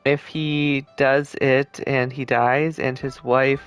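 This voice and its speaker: A man streams a video game with casual, conversational commentary. His voice sits at a medium pitch, with faint game music in the background. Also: little pitch movement, slow, clean tone.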